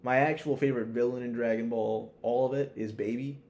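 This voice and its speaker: A man's voice: medium pitch, steady tone, fairly quick, a little mellow. A man co-hosting a podcast, chatting casually and offhandedly with slang and swearing. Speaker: podcast co-host. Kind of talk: casual chat, ranking anime villains and films.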